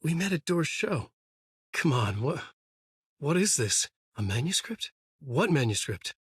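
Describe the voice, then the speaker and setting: Brooding tormented writer man from a horror game, raspy husky voice, intense and dramatic, super dry studio sound. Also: a little high, very animated, no room sound, heavily acted. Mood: alarmed. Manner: intense, halting, dramatic delivery. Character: haunted, desperate, brooding male writer trapped in a nightmare. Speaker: voice actor. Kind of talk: psychological horror game dialogue and brooding narration.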